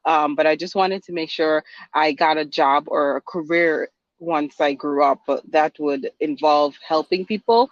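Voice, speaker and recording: A woman with a fairly low voice answers interview questions hesitantly, pausing often with ums. The audio is dry and dull, a bit lo-fi. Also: no echo. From Guyana. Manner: hesitant, halting, many ums. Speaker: guest attorney.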